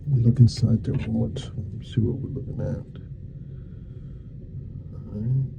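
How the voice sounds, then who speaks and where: A man speaking softly, at times nearly whispering, in an ASMR roleplay as a dentist, with folksy, reassuring small talk in short murmured phrases. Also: on the low side, a little slow, vocal fry, dull audio, noisy background. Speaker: ASMR performer playing a dentist. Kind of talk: ASMR roleplay, soft-spoken to half-whispered.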